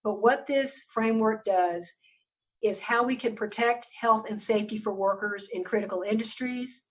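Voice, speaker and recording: A woman public health official giving a measured briefing over a video call, hoarse and losing her voice, often stopping to clear her throat. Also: fairly high pitch, distant mic. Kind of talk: briefing presentation on a virtual meeting.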